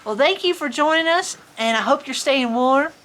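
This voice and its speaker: A woman reading a picture book aloud to children in an expressive, folksy, chatty storytime manner. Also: high-pitched, moderate pace. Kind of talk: animated children's story reading with casual asides. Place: on a farm among sheep.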